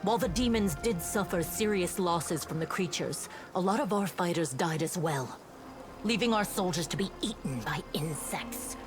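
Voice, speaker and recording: An actress playing an earnest, dutiful female paladin officer in a fantasy game, speaking in a formal, measured reporting manner. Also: lowish voice, animated, natural voice. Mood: grave, reproachful. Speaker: game character reporting to a commander. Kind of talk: voice-acted fantasy game dialogue. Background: music.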